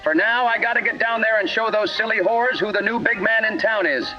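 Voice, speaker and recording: A man acts out a swaggering, violent mama's-boy thug in a parody radio play. The voice sits high for a man, full of crude boasts and threats. It comes through muffled and narrow, with faint music underneath. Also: a little fast, close to an everyday voice. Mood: cocky swagger. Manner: bragging, menacing, crude. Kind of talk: parody radio drama acting.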